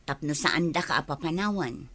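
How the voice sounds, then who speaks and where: A woman's voice: low voice, lively intonation, moderate pace, fairly bright tone. An actress playing a stern mother who is leaving her child with a relative, in a Filipino radio drama. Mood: firm, coaxing.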